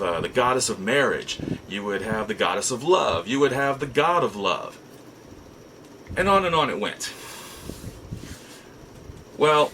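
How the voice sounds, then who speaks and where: Bright-voiced man reminiscing casually, slightly noisy. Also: mid-range voice. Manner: halting, repeats and restarts words as he goes. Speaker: host of a nostalgia show. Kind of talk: casual storytelling, personal reminiscence.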